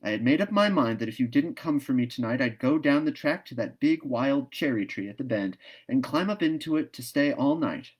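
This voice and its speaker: A man's voice: mid-range voice, animated, a little fast, hardly any pauses, pitched above the performer's own voice, natural voice. A man voicing a talkative, imaginative eleven-year-old orphan girl in a storybook, earnest and eager. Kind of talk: storybook read-aloud.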